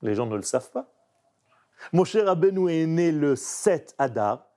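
Man, non-native speaker, slow measured delivery, warm mellow tone. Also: very animated. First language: not French. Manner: slow, emphatic, with wide pitch swings. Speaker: interviewee. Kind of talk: reflective spiritual talk.

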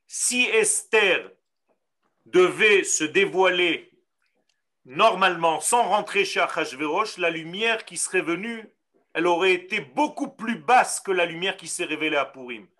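Middle-aged Israeli rabbi, native Hebrew speaker; sharp, high voice; slow readings and emphatic explanations over a video call. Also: male voice, animated. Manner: reads Hebrew lines slowly, then explains them emphatically. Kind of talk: teaching a class.

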